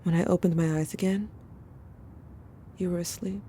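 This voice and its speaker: A woman playing a weary, somber narrator, telling a first-person story in measured audio drama narration. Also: fairly low pitch, fairly even intonation, warm tone, lightly acted. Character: somber, weary narrator recounting haunted memories. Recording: faint ambient noise.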